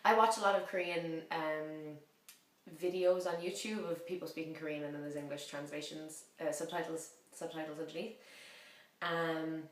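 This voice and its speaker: Low-voiced chatty woman in a roomy echo. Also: fairly even intonation, normal speed. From half French, French mother. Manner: rambling, self-correcting, chatty and playful. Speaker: vlogger learning a language. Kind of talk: casual chatty vlog.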